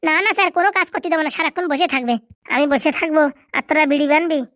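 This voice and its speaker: A man voicing an excitable, cheeky young male cartoon buddy, with slangy bantering delivery and a pitched-up effect. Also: rapid-fire, no echo, studio quality. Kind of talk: comedy cartoon dubbing in colloquial rural dialect.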